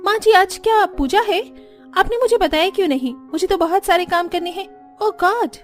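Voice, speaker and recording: A woman's voice: very high-pitched, moderate pace, soft background music. A woman voicing a spoiled, vain young daughter-in-law in a cartoon, whiny and self-important. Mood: fussy, vain. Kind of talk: Hindi cartoon voice acting.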